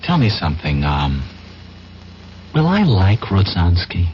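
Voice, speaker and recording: A man's voice: sits low, wildly swooping pitch, a little slow, loud music behind the voice, full character voice. An actor playing a wary, defiant newspaperman cornered in a 1970s radio thriller, guarded and wry. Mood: wry unease. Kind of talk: 1970s radio drama acting. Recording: dull, hissy old radio broadcast.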